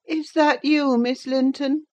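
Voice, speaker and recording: A woman's voice: high-pitched, steady tone, slow, a little mellow, muffled recording, pitched above the performer's own voice. Woman voicing a whining sickly teenage boy. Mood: peevish. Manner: whining, querulous.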